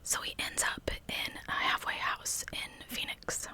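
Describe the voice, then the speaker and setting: A woman telling true crime stories as ASMR in a breathy, unvoiced whisper with a conversational manner. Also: a little slow, pushed and bright. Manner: conversational narration.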